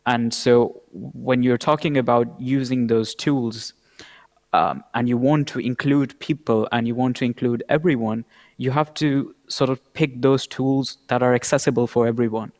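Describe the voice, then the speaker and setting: A man's voice: medium pitch, fairly even intonation, moderate pace, a little mellow. Male panelist in long reverb. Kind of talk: considered panel remarks.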